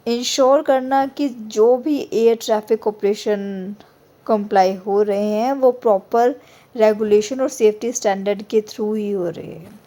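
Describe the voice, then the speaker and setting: Slow, mellow-voiced woman explaining. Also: medium pitch, steady tone, rough recording. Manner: slow, measured, reads figures step by step. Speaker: exam prep presenter. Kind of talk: explainer lesson mixing Hindi and English.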